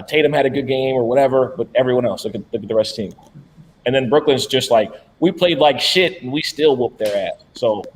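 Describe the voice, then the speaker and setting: A man's voice: mid-range voice, a little hiss or hum. Blunt, profane man talking sports betting. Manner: blunt, profane, animated about basketball. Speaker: podcast co-host. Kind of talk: casual sports betting chat.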